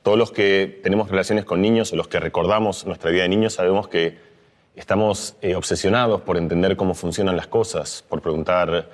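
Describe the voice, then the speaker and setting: Man, low voice, middle-aged, reflective, explanatory talk. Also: fairly even intonation, a little fast. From Argentina. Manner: thoughtful, reflective, with frequent fillers and restarts. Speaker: neuroscientist speaker.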